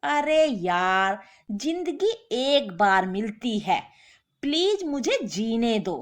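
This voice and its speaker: Whiny lazy husband, high-pitched played by a woman. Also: sing-song, slow pace. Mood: lazy, irritated. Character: lazy, whining, self-pitying jobless husband.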